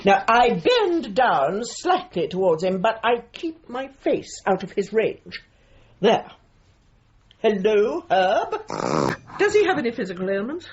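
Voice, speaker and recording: An actress playing a brisk, bossy, unsentimental middle-aged dog trainer in a comic radio drama, with an imperious, precise, patronising manner. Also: female voice, mid-range voice, big pitch swings, husky, dull audio. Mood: instructive, brisk.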